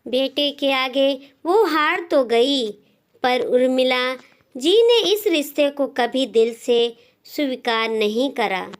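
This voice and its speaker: A woman narrating a scripted moral story as a storyteller, in deliberate phrases with frequent pauses. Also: high-pitched, expressive, smooth.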